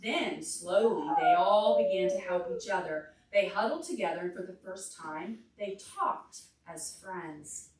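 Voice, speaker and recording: A woman leads a preschool storytime, reading aloud with lots of rise and fall in her voice. She goes slowly and stops to explain things simply or put questions to the children. She sounds distant from the microphone in a large room. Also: lowish voice. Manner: expressive, simple explanatory way of talking to small children. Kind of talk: reading a picture book aloud to young children, pausing to ask questions. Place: large carpeted indoor library meeting room. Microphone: distant camera microphone. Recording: roomy, picked up from across the room.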